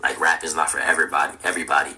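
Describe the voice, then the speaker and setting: A man's voice: very deep voice, level tone, racing, bright, forceful tone. A nineteen-year-old man, a rapper and producer, chatting casually as an interview guest, laid-back and full of slang. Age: young adult. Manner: laid-back slangy talk with frequent "bro".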